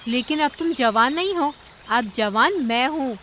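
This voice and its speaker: A woman's voice: sits high, animated, unhurried, a bit bright, slight background noise. A woman voicing a smug, mocking young female fish in a cartoon.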